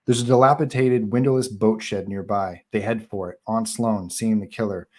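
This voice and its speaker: A man narrates a film script at a live table read, delivering scene headings and stage directions. His voice is fairly low. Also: fairly even intonation, moderate pace, darkish tone.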